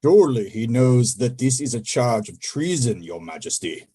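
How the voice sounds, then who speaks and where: A man's voice: medium pitch, big pitch swings, moderate pace, mellow, husky. A man playing a loyal, stern royal guard in an audio drama. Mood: stern, dutiful. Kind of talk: theatrical audio drama acting.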